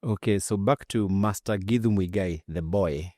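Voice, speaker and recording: A male interviewer with a low, mellow voice asks short, courteous questions. The sound is dry and close. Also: normal speed, no room sound. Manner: brief, polite questions. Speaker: interviewer, podcast host.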